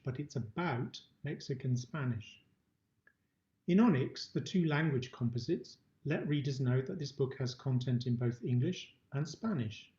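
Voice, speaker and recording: A man's voice: fairly high pitch, mellow. A middle-aged man giving a prepared instructional presentation in an indoor room. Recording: dull, roomy webcam-style recording.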